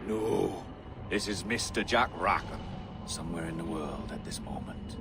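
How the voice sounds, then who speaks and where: An actor playing a cunning, commanding pirate captain in a video game, theatrical and measured, with a sardonic, menacing edge. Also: male voice, sits low, background noise. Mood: composed, explaining. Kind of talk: dramatic video game acting. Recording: music behind the voice.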